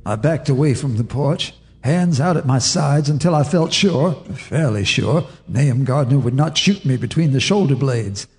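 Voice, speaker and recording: An actor narrating as an old neighbor recalling dark events, grave and measured, in a radio drama. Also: male voice, medium pitch, animated, breathy edge. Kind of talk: scripted storytelling narration.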